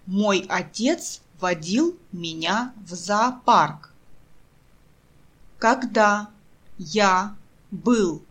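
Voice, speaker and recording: A woman with a medium-pitched, slightly husky voice reads short practice sentences for language learners. She goes extremely slowly, separating phrases with deliberate pauses and pronouncing each one with care, as a teacher would in a drill. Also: fairly bright tone.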